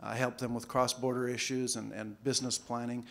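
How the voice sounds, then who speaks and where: A man giving a conference talk in a relaxed, casual way, often repeating words as he goes. English is not his first language. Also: medium pitch, brisk, vocal fry. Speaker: conference speaker, financial planner. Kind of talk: conference presentation. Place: conference hall.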